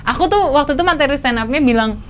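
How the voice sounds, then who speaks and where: A woman's voice: sits high, lively intonation, quick pace, slight background noise. A young woman with a Banyumasan ngapak accent, telling stories in a casual chat as a guest, chatty and giggly. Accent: Banyumasan (ngapak) Javanese.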